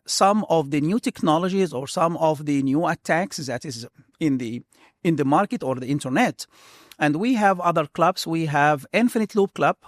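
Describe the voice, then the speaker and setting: A man from Egypt, a computer science professor in his middle years, answers interview questions earnestly, often restarting his phrases. His voice sits fairly high for a man. The recording is dry and close, with no room sound. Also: no room sound.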